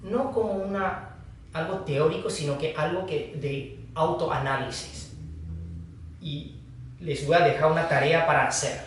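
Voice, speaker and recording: Slow-speaking man in an echoey hall. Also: on the high side, a bit bright, slight background noise. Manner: slow, halting, with pauses and fillers. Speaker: Buddhist teacher. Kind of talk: dharma talk. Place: hall of a foundation. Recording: echoey room, distant mic.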